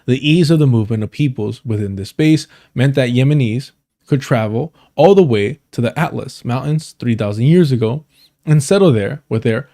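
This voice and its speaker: A man's voice: mid-range voice, warm tone. A man hosting a livestream, reading an article aloud, often stumbling over words and correcting himself. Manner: stumbling reader who corrects himself and apologizes. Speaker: livestream host.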